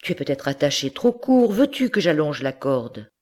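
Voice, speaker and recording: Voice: mid-range voice, very animated, moderate pace, darkish tone. A reader voicing a worried older farmer who exclaims and warns in a storybook tale. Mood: dismayed. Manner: exclaiming, anxious. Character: older male farmer, fretful and protective.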